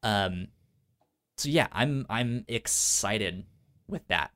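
A man co-hosting a casual podcast, speaking informally and haltingly with a stutter that repeats sounds and syllables. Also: lowish voice, animated, pushed and bright. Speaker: podcast co-host.

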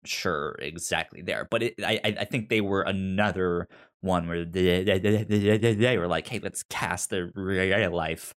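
Halting, stammering man in casual chat. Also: low-pitched. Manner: halting, stammers and repeats word starts. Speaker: podcast host. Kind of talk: casual chat discussing a TV series.

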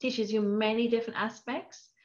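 A woman's voice: on the high side, fairly even intonation, moderate pace, highs cut off. A woman with a mixed international accent from Scottish and Norwegian roots, chatting as a guest scientist in a podcast interview, laughing often and using many fillers. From Norway.

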